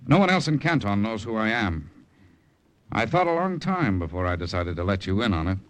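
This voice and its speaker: An actor playing a calm American undercover agent in 1940s radio style, measured, confiding and reassuring. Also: male voice, lowish voice. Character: calm, steady American undercover spy, trusting and persuasive.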